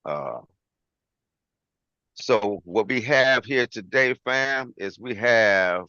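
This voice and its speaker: A man's voice: fairly low pitch, animated, very slow, pushed and bright, smooth, no room sound. A man hosting a casual online livestream, talking informally and repetitively, with frequent "you know" and "man" as he goes. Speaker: host of an online show. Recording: dull lo-fi livestream audio.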